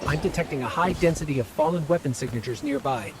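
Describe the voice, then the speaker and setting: An actor playing an anxious, earnest little AI robot companion in a video game, reporting things precisely. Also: male voice, fairly high pitch, big pitch swings, fast, vocal fry, loud music behind the voice, rough recording. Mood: focused, earnest.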